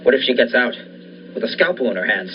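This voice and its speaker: A man's voice: mid-range voice, lively intonation, fast, close to an everyday voice. A young man playing an obsessed, distraught scientist in a 1940s-style radio thriller, fraught and pleading. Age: young adult. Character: obsessed, distraught scientist reviving his dead wife. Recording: dull lo-fi recording with faint noise.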